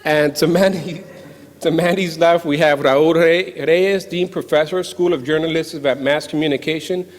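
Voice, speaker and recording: A man introducing a conference panel as moderator, speaking haltingly with pauses between phrases. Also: a little high, fairly even intonation. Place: indoor event hall with microphone. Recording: echoey hall, long reverb.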